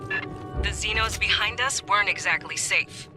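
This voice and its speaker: A man's voice: sits high, unhurried, over music. A man playing a tough marine sergeant who commands a fire team in a video game, with blunt, commanding delivery. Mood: firm. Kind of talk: scripted video game acting.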